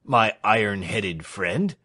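A languid, moody gentleman of classic fiction, acted by a male reader. He speaks slowly in a sardonic, impatient way, with plenty of movement in his pitch. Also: animated, heavily acted. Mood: wry. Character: moody, impatient, debt-ridden gentleman client. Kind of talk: dramatised reading of classic fiction.

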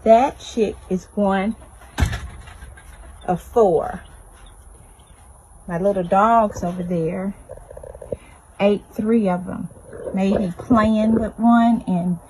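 Middle-aged woman, unhurried casual commentary, outdoor phone audio with birds and noise. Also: medium pitch, steady tone, a little mellow, poor audio. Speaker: backyard chicken owner. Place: outdoors in a fenced backyard. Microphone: handheld phone behind the camera. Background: chickens and chirping birds.

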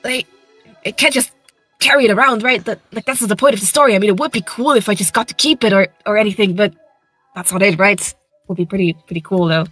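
A woman's voice: mid-range voice, quick pace, a bit bright, husky, dry recording, faint music behind, rough recording. A woman playing a cheeky, easygoing hedgehog hero in an improvised comedy fandub, talking in a casual, skeptical, slangy way.

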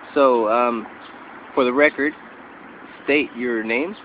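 A man interviewing a musician, asking short questions with many pauses and fillers. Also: medium pitch. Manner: slow, halting with uh fillers. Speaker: interviewer, filmmaker. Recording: lo-fi with street and traffic noise.

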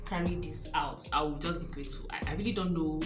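A young Nigerian woman talks in a low, easygoing voice straight into a camera held close. She sounds chatty and a little unsure, laughing things off. The room rings with echo and music plays underneath. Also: fast talker. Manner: chatty, laughing often, unsure and self-teasing. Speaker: vlogger. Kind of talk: casual hair vlog. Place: small room indoors, rain outside. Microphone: camera close, at arm's length. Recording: echoey room with background music.

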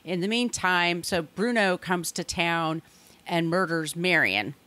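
A woman co-hosting a podcast, retelling a story in an animated way with quick acted-out quotes. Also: fairly low pitch. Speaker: podcast co-host. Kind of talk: casual book discussion.

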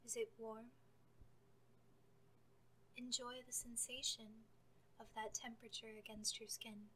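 A woman leading a guided meditation, speaking gently and calmly as she gives soft scripted instructions. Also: fairly high pitch, unhurried, slight background noise, rough recording.

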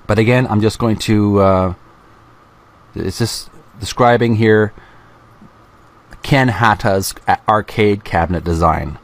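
A middle-aged man with a fairly low voice hosts a video. He reads printed text aloud and adds casual remarks as he goes. His delivery is deliberate and halting, spoken close to the mic. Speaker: video host. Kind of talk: reading aloud from an art book with casual commentary.

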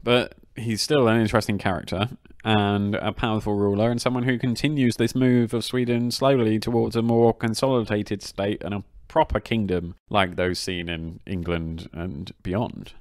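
A man's voice: on the low side, fairly even intonation, normal speed, no echo, studio quality. A man co-hosting a history podcast in an upbeat, chatty way. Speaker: podcast co-host. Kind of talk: casual history podcast chat.

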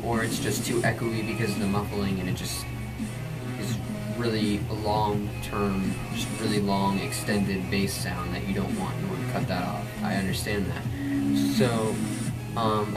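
A man in his twenties with a low voice, explaining drum tuning in a rambling, casual way with many ums, recorded in a small padded bedroom on a camera mic. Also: rough recording. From Florida, USA. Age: 20s. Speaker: drum instructor. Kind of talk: casual tutorial. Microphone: camera mic from a few feet away.

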